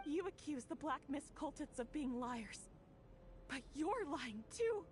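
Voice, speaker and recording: A woman's voice: sits high, lively intonation, measured pace, darkish tone, faint music behind. An actress playing a weary, despairing fugitive woman in a video game, bitter and worn down. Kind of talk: dramatic video game voice acting.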